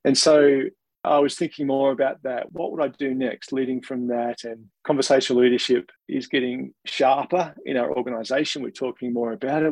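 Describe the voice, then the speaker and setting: Gravelly, husky Australian man. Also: mid-range voice, a little mellow, dry recording, poor audio. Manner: thoughtful, measured, with small restarts. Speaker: interview guest, organisational leader. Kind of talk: reflective interview answers.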